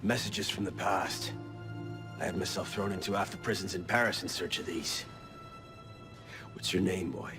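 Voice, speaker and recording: An actor playing a gruff, hard-drinking older assassin mentor in a video game, sardonic and coarse, with a gravelly voice. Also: male voice, sits low, steady tone, normal speed, pauses often, music in the background. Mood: wry, secretive. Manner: sardonic, coarse-tongued, theatrical.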